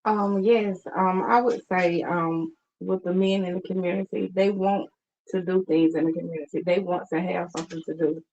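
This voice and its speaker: A woman's voice: fairly low pitch, steady tone, normal speed, a little mellow, muffled recording, slight background noise. A woman guest on a radio talk show describing her outreach work, hesitant with many ums, plain and sincere. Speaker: guest, community outreach worker. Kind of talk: interview talk.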